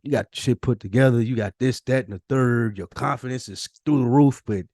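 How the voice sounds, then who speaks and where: A man's voice: mid-range voice, brisk, a little mellow, no echo, studio quality. A man talking casually and candidly as a podcast co-host, reflective and confessional, with slangy, profane, emphatic phrasing. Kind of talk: casual, candid personal conversation.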